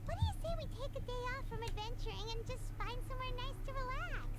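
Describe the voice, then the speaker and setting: An actress voicing a tiny chatty female fairy companion with a high squeaky voice, bubbly and talking about herself in third person. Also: lively intonation, fast. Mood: cheerful. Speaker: game companion.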